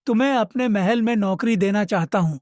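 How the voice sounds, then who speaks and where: Man doing a kind stately cartoon king, formal, pretty high pitched, no room sound. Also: a little fast, a little mellow, dry recording, studio quality, close to an everyday voice. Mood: magnanimous. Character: benevolent, stately king rewarding the poor. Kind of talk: dubbed cartoon moral story dialogue.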